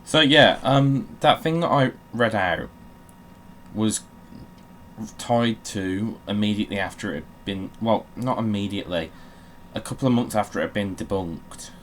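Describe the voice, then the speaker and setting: A man's voice: on the low side, normal speed, slight background noise. A man giving casual, sweary commentary while playing a video game, in a wry, grumbling manner.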